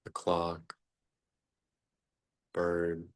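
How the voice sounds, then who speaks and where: A man leading an unscripted guided meditation as a teacher, speaking haltingly in short phrases with long pauses between them. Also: low-pitched, completely flat delivery.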